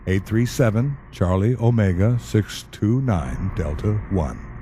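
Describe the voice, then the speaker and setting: An actor in an audio play as a calm, wry government specialist, speaking in a measured, matter-of-fact and dryly polite way. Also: male voice, on the low side, slight background noise. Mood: wry, explanatory. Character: calm, wry male government specialist who questions the dead. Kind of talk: audio drama acting.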